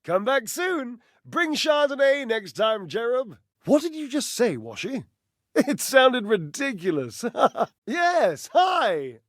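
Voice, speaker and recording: An actor playing a teasing, possessive, affectionate flirt in a video game, with cooing, playful delivery. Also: male voice, extremely high pitch, big pitch swings, slow pace, no echo, studio quality, full character voice.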